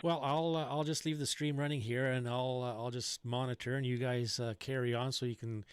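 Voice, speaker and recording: A man's voice: mid-range voice, steady tone, a little fast, clear, resonant tone. A man hosting a casual amateur radio chat from his home station, thinking aloud in an easygoing way while he adjusts his settings.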